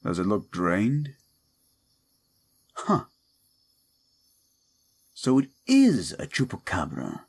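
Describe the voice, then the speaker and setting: A man playing a gruff, wisecracking, unflappable demon paranormal detective in a roleplay audio drama, with a laconic, dry delivery. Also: fairly low pitch, huge pitch swings, very slow, long pauses, darkish tone, exaggerated voice. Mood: dry, curious.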